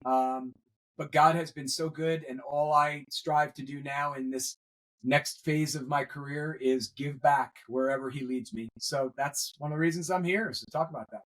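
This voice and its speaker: Earnest grandfatherly man, slightly high voice. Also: fairly even intonation, moderate pace, a bit bright, rough recording. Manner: earnest, hesitant with many fillers. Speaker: guest, veteran educator. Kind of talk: conversational interview.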